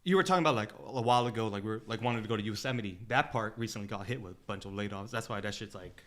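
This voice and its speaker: A man's voice: fairly low pitch, fast, a bit bright. A man co-hosting a podcast in casual, slangy, profane talk, argumentative and constantly peppered with "like" and "you know.". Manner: repeats 'like' and 'you know' constantly. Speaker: podcast co-host. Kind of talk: casual group chat.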